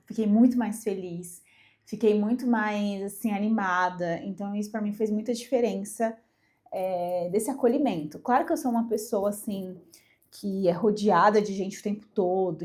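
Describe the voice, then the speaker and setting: A woman's voice: medium pitch, a little mellow. A young Brazilian woman congested with a cold, chatting casually and emphatically to camera as a vlogger. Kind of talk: casual personal chat to camera.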